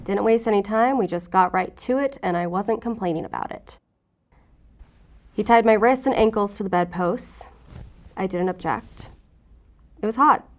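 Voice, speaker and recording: A woman's voice: medium pitch, normal speed, long pauses, lightly acted. An actress playing a wounded, guarded female FBI agent who talks with sardonic defiance in an audio drama. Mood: bleak, pained. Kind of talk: audio drama acting, confessional.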